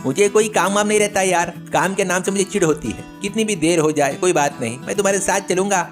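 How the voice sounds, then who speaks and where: A man's voice: high-pitched, quick pace, soft background music, pitched above the performer's own voice, lightly acted. A man voicing a boastful, idle village chatterbox who pushes his tall tales insistently in a children's story. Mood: wheedling. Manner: bragging, insistent, wheedling.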